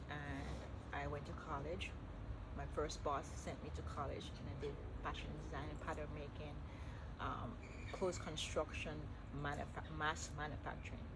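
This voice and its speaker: A middle-aged woman from Jamaica with a Jamaican accent, pitched a little low, reading self-help tips and riffing on them in a chatty, self-correcting way. Steady background noise sits under her voice throughout the recording. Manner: chatty, stops to correct herself. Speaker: vlogger. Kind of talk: reading tips aloud and commenting.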